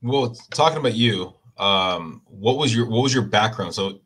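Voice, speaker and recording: A man's voice: fairly low pitch, lively intonation, moderate pace, fairly bright tone. A man hosting a casual interview, asking friendly questions with hesitant fillers. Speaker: interview host.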